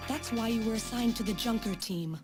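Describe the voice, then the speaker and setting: A woman voicing a grave, sorrowful scientist in a video game, telling of a dark past with dramatic delivery. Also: fairly high pitch, fairly quick, music in the background, close to an everyday voice.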